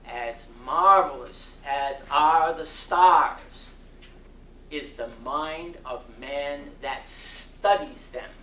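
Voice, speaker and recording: Guy doing a solemn dramatic sermon, slow and emphatic, kinda far from the mic in a roomy hall. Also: fairly high pitch, pushed and bright. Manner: solemn, emphatic, deliberate dramatic phrasing with long pauses. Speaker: reader performing a sermon. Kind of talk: dramatic sermon reading, oratorical. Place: community center hall before an audience. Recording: roomy echo, distant mic, faint noise.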